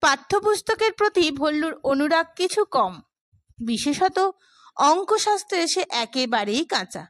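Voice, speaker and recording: A woman's voice: high voice, lively intonation, moderate pace, no echo, studio quality. A woman narrating a literary short story as a scripted audiobook.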